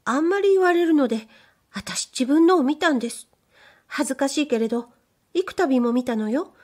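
A shy young maidservant, whispering and bashful, her voice lifted high and light, as a woman narrator puts her on. She speaks softly and hesitantly, in a gentle storybook reading. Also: animated, moderate pace. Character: shy young maidservant girl, soft-spoken.

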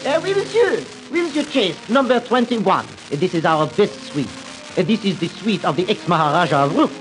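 An excitable French hotelier. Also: male voice, sits high, normal speed, over music, low-quality recording. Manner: theatrical, exclamatory, broken English. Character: fussy excitable middle-aged French hotel manager.

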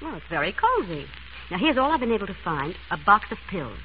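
An actress playing a breezy, witty, stubborn amateur sleuth in an old-time radio drama, bantering briskly. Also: female voice, mid-range voice, big pitch swings, lightly acted. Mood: curious. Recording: muffled, noisy vintage radio recording.

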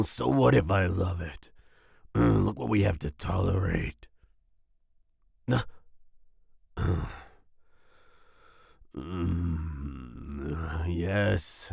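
A man playing a big, grumpy werewolf boyfriend with a growling, husky voice and a soft side, in an ASMR roleplay. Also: deep voice, no room sound, studio quality, heavily acted. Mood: grudgingly affectionate. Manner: grumbling, slow, with grunts and growls.

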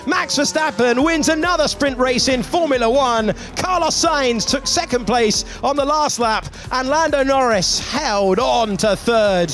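A man giving excited motorsport race commentary as the commentator of a broadcast. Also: very high-pitched, unhurried, hardly any pauses. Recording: loud music behind commentary. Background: music and racing car engines.

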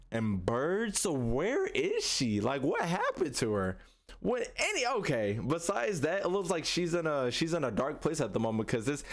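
A young man giving casual reaction commentary in slangy speech, repeating phrases in bursts. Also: mid-range voice. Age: young adult. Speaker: reaction video host. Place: small room indoors at a streaming setup. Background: music from the trailers he watches.

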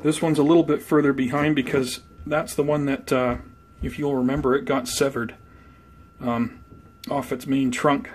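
A man giving an unhurried, conversational show-and-tell as a hobby vlogger, talking casually indoors. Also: mid-range voice, flat delivery. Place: indoors at home by plant shelves. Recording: clear background noise, room ambience.

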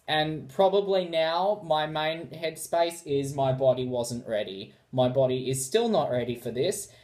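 A man in his twenties with an Australian accent, talking casually and theatrically in a reaction vlog, recorded indoors on a device mic. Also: medium pitch. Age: 20s. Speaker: YouTube reactor. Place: small bright room indoors.

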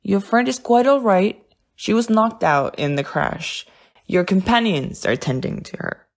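A woman's voice: mid-range voice, animated, measured pace, gravelly. A woman playing a stern, accusing funfair stallholder in an audio drama. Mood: curt.

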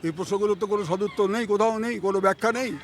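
A man's voice: high-pitched, fairly even intonation, very fast, a little hiss or hum. A man making spontaneous comments in an insistent, questioning way.

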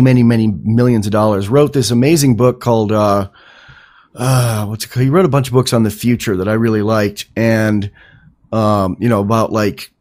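A man's voice: on the low side, darkish tone. A man hosting a podcast in casual conversation, talking in a rambling, enthusiastic way with some swearing. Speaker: podcast host.

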